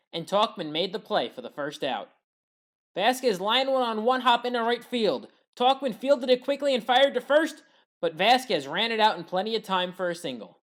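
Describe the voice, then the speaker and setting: Woman narrating a sports highlights recap. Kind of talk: scripted play-by-play recap narration.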